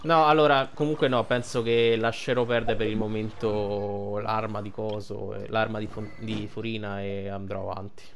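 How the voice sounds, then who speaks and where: A man's voice: fairly low pitch, little pitch movement, moderate pace, few pauses, slight background noise. A man giving casual, informal playthrough commentary as a gaming streamer, thinking aloud.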